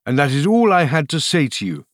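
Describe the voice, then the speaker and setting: A man's voice: on the high side, big pitch swings, brisk, dry recording, pitched above the performer's own voice. Storybook king, played by a male reader: imperious, cold, clipped and pompous, voice raised a little, dry sound. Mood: coldly gloating.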